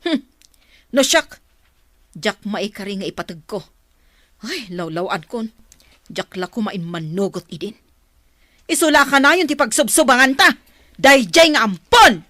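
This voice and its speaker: A woman's voice: on the high side, lively intonation, unhurried, pauses often, bright, forceful tone, no room sound, clean studio sound. A woman playing a stern, commanding mother in a radio drama, scolding and resentful. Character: harsh, bossy mother resentful of her adopted son.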